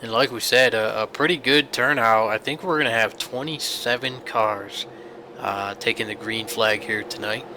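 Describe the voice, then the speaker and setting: A man's voice: on the low side, steady tone, normal speed, bright, forceful tone, a little hiss or hum. A young man commentating a sim race, congested from a sinus infection, chatty and rambling with many fillers. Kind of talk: sim racing broadcast commentary.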